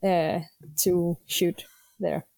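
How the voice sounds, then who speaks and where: A young woman from Greenland, a native Danish speaker, answering interview questions in English with many pauses and restarts. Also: fairly low pitch, level tone, gravelly, mellow, no room sound. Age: young adult. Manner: hesitant, many fillers and restarts. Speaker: guest athlete. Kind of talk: casual interview.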